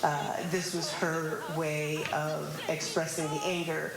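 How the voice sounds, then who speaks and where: A woman explaining informally in an interview, reflective and hesitant, with halting phrasing. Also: low voice, little pitch movement, creaky, over a noisy crowd. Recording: roomy echo under loud music.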